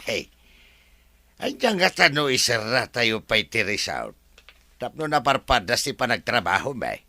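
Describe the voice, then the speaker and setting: Man as bright, animated Mang Temyong, resort worker. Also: medium pitch, unhurried, no echo, exaggerated voice. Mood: deferential, explaining. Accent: Ilocano. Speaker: voice actor. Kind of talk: comedy radio drama acting.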